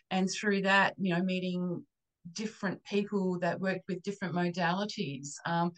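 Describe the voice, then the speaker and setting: A woman with an Australian accent chatting casually as an interview guest, halting as she searches for words. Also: fairly low pitch, rough recording. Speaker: interview guest, psychic channeler and Reiki therapist.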